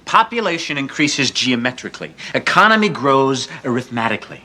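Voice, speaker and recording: An actor playing a cynical man who lectures grimly, declaiming with dramatic pauses. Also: medium pitch, sing-song, sharp tone. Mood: grim lecturing.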